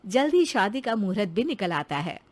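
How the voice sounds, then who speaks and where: A woman's voice: medium pitch, sing-song, normal speed. A woman reading scripted narration for a cartoon story as its narrator.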